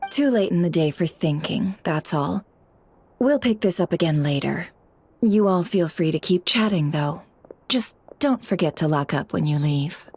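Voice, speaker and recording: A woman voicing a cool, sardonic, detached dealer in secrets in a video game, with a husky, dry delivery. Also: lowish voice, lively intonation, unhurried, a little mellow, full character voice. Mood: serious, cool.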